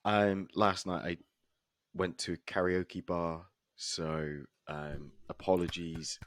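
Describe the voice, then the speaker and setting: A man with a hoarse, husky voice co-hosting a casual podcast and laughing often between remarks. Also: low voice, little pitch movement, takes it slowly, no echo, studio quality. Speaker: podcast co-host. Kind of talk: casual chat.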